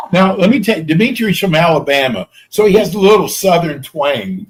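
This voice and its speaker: A man's voice: on the high side, sing-song, moderate pace, a little hiss or hum. A man co-hosting a casual chat, playful and enthusiastic about the food.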